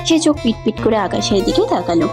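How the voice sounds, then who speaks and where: Fast-paced woman narrating a story. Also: mid-range voice, big pitch swings, music in the background.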